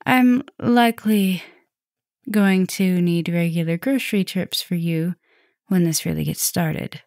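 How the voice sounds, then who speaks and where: A woman playing a caring, softly dominant vampire professor in an ASMR roleplay, talking gently and intimately to the listener, with breathy drops toward a whisper. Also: on the low side, unhurried, no room sound, studio quality. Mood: chatty, casual.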